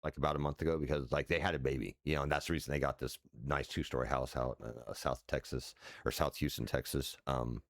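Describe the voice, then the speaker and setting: A man in his forties, a streamer with a deep voice, chatting loosely at home with many fillers and restarts and casual swearing. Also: steady tone, fast, vocal fry, hardly any pauses, no room sound, clean studio sound. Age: 40s. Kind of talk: casual rambling chat while sim racing. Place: his house.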